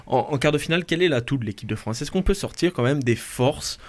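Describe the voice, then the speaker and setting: A man's voice: medium pitch, animated, quick pace. A man hosting a sports talk show, often repeating himself as he frames long questions. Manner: stumbling, repeats words while framing questions.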